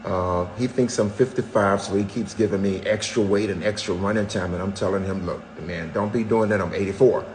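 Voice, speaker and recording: An older man in his seventies talking openly and at length on a podcast. He tells stories with a wry, self-mocking humor, and his voice sits on the low side. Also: fairly even intonation, a little fast, hardly any pauses, a little mellow, slight background noise, rough recording. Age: 70s. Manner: candid storyteller, wry self-mocking jokes. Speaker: podcast co-host, husband. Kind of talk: casual chat.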